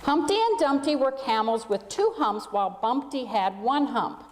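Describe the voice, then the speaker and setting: Female storybook reader for a church congregation, higher-pitched voice, long hall reverb. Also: lively intonation, moderate pace, darkish tone, slight background noise. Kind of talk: children's storybook read aloud to a congregation.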